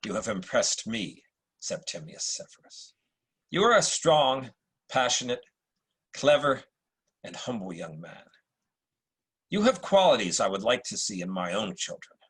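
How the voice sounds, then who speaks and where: Roman emperor, played by a man: very rough breathy voice, unhurried, philosophical, sweeping delivery for historical drama. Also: fairly low pitch, very animated, a bit bright, heavily acted. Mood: measured, encouraging. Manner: deliberate, oratorical, with weighty pauses. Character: wise, measured Roman emperor, philosophical and probing. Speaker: actor at a table read. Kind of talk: dramatic table-read acting.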